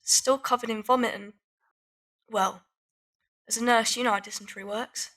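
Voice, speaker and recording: A woman's voice: fairly high pitch, fairly even intonation, normal speed, sharp tone, a little hiss or hum. A woman playing a wary, plain-spoken young street dweller of Victorian London in an audio drama. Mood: subdued.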